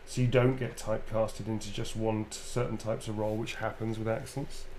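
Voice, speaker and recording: A man's voice: on the low side, flat delivery, a little fast, darkish tone. Chatty British man, faint hum behind. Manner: chatty, loose and informal, full of ums and self-corrections. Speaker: voice actor answering fan questions. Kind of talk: casual live Q&A.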